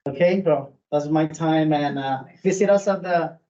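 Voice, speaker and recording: A man's voice: fairly high pitch, dark tone, lo-fi sound. Man with a strong Puerto Rican accent. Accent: Puerto Rican Spanish. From Puerto Rico. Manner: earnest, halting, many restarts and fillers. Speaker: food truck owner speaking at public meeting. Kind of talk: informal public comment. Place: public meeting room.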